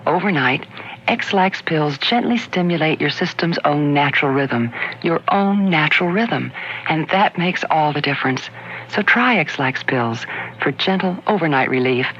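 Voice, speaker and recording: Male commercial voice, crisp-edged and bright, scripted read, dull broadcast with faint noise. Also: a little high. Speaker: commercial announcer.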